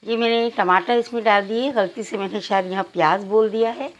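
A woman's voice: medium pitch, fairly even intonation, a little fast, muffled recording. A woman narrating cooking instructions step by step, addressing viewers directly as a home cook. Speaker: home cook presenting a recipe.

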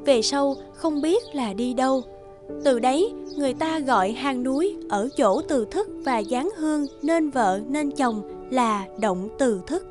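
A woman narrating a cartoon fairy tale in a calm, measured way. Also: high-pitched, music in the background. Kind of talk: storybook narration.

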